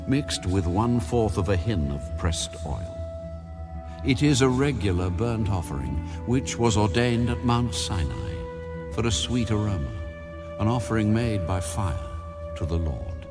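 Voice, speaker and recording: A man with a lowish voice reads scripture formally, in a measured and solemn audiobook style. Music plays clearly behind his narration. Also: very animated. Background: background music.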